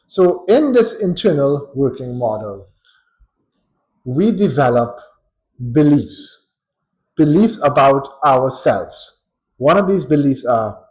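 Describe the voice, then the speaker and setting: A man with a Trinidadian accent, a psychologist giving a thoughtful, unhurried educational talk with pauses and light chuckles. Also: medium pitch, rough recording. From Trinidad and Tobago. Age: adult.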